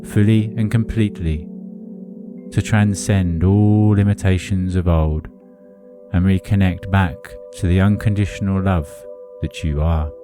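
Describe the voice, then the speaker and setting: Slow, very low-voiced man guiding meditation. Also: steady tone. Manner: soothing, deliberate, measured phrasing. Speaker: guided meditation host. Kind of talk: hypnotic guided meditation narration. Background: music under the voice.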